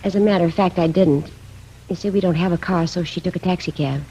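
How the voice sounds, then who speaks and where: An actress playing a polite, evasive middle-aged American housekeeper who speaks in a genteel, hesitant, self-excusing way in a 1950s radio drama. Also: female voice, lowish voice, fast talker, mellow, husky. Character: polite, evasive housekeeper, a paroled murderess hiding something. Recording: muffled, faintly noisy 1950s radio recording.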